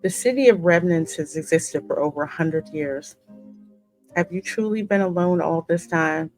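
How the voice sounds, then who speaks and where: A woman's voice: on the low side, moderate pace, a little mellow, low-quality recording. A woman hosting a game playthrough, reading the player character's lines theatrically and reacting casually between them. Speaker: gaming host voicing the player character. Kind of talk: dramatic script reading mixed with casual reactions. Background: game music.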